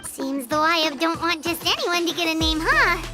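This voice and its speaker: Very high squeaky childlike fairy, perky, eager, video game companion, music behind. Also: female voice, expressive, moderate pace. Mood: perky, sympathetic. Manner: perky, refers to self in third person. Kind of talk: video game character dialogue.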